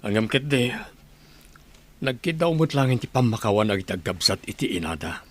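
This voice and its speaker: Man acting a bright-voiced, gentle family father. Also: lowish voice, big pitch swings, normal speed. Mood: earnest, conciliatory. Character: gentle family father and son, conciliatory village masseur. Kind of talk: Filipino radio drama acting.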